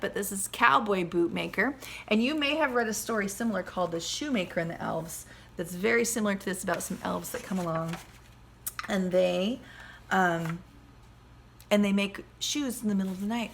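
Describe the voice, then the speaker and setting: Female primary teacher, crisp bright tone, slightly scratchy, plain child-directed explaining, mid pitch. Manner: explains simply, addressing young children. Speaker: second-grade teacher. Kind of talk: teaching a lesson and reading a picture book aloud. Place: at home.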